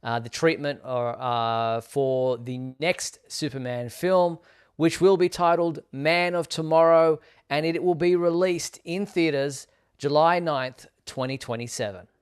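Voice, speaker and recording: A man hosting a casual fan news discussion, explaining in a measured way with some hesitant phrasing. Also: on the high side, fairly even intonation, clean tone. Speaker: host of a Superman fan live show.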